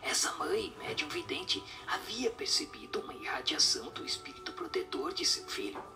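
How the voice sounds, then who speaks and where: A man plays a solemn spirit in an audio drama, instructing in a breathy whisper. The delivery swoops in pitch over a faint music bed, staying didactic throughout. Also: very animated, sharp tone, heavily acted. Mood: solemn, instructive. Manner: didactic, measured explanations.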